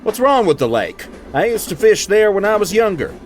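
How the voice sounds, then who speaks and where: A man's voice: very animated, normal speed. A man playing a thoughtful, questioning steam train crewman in an audio drama, speaking in a curious, reflective way. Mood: curious, easygoing. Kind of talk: amateur audio drama acting. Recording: noisy rumbling train background.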